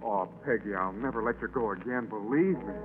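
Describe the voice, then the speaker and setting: An actor playing a brash, hot-headed young boyfriend in a 1940s radio play. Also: male voice, lowish voice, fairly quick, narrow, tinny audio, natural voice. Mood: remorseful. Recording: scratchy old radio broadcast.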